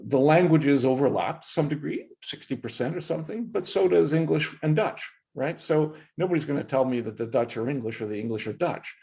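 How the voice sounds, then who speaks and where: A man's voice: mid-range voice, a little mellow. Earnest Canadian man explaining history. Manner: earnest, emphatic explainer with frequent restarts. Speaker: professor and podcast guest. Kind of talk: interview answers.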